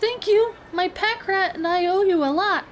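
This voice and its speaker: A woman's voice: very high voice, moderate pace, slight background noise. A woman voicing a small, earnest young gnome adventurer with a questioning manner, in a fantasy audio story. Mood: grateful, earnest.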